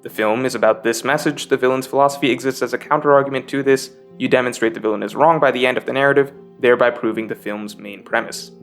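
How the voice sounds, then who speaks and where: A man delivering scripted, analytical video essay narration with measured, emphatic phrasing. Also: medium pitch, steady tone, a bit bright. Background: guitar music.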